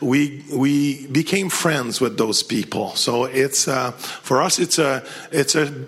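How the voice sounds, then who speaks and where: Husky French-Canadian man, halting and jokey. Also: medium pitch, fairly bright tone. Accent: Québécois French. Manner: joking, self-deprecating, halting restarts. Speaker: guest speaker. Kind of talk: casual talk to a church gathering.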